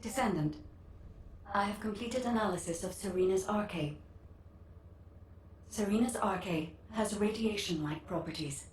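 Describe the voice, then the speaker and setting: A woman playing a composed, earnest scientific analyst in a video game, speaking in a measured, formal way. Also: on the low side, a little mellow, close to an everyday voice. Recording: distant, echoey game audio, faint noise.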